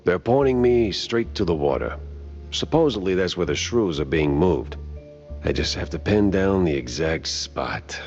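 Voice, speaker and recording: Very deep, wisecracking mouse detective. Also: male voice, lively intonation, unhurried, a little mellow, dull audio, faint music behind. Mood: wry, probing. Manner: dry, sardonic. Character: weary wisecracking hardboiled male mouse private detective. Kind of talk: noir cartoon game acting.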